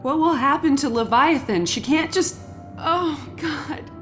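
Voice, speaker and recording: A woman's voice: sits high, a little slow, soft background music. An actress playing a distraught, urgent medic devoted to her leader in a sci-fi audio drama, speaking with raw emotion. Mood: grieving, anguished. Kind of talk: full-cast sci-fi audio drama acting.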